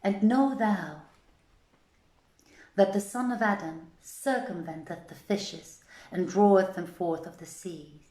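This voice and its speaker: A woman's voice: medium pitch, takes it slowly, warm tone, close to an everyday voice. A woman voicing a frightened female duck from a storybook, solemn and emphatic in archaic words, in a roomy, echoey recording. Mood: grave, warning.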